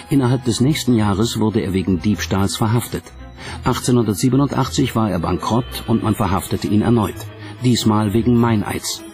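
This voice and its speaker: Man, deep-toned documentary narration, scripted, dramatic and measured, music underneath. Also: a little mellow. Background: background music.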